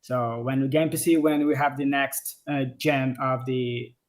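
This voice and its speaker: A man's voice: medium pitch, steady tone, measured pace. A man from Brazil with a Brazilian accent, a game developer answering questions on a home video call, often hesitating and restarting as he searches for words. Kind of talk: casual livestream Q&A. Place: home office.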